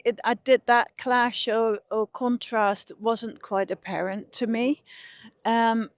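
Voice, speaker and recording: A woman's voice: medium pitch, no room sound, studio quality. A middle-aged woman with a Dutch accent, explaining her research conversationally as an interview guest. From Netherlands. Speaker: interview guest, behavioural scientist.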